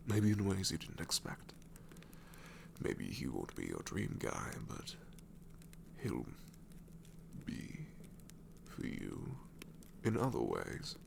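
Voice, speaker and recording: A man's voice: a bit bright, slight background noise, exaggerated voice. A man playing an ancient, sassy shadow demon in an ASMR roleplay, wry and world-weary but tenderly reassuring, often slipping into a whisper. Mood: gently reassuring. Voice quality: very deep, gravelly, often breaking into whisper.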